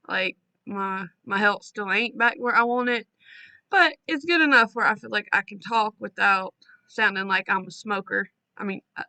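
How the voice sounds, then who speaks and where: Woman story host, bright voice, dry close sound, reads casually with asides. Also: medium pitch, no room sound. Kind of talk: reading listener stories aloud with chatty asides.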